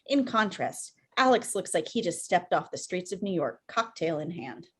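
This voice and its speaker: A woman's voice: on the low side, lively intonation, normal speed, husky. A woman reading scripted stage directions as narrator at a table read over video call.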